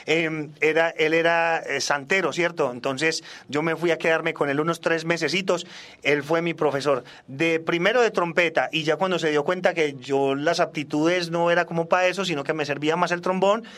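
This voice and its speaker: A man's voice: on the high side, fairly even intonation, moderate pace, a bit bright. A man in his forties from Australia, a native English speaker, chatting casually as a musician guest in a radio interview. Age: 40s. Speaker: salsa singer and trombonist, guest.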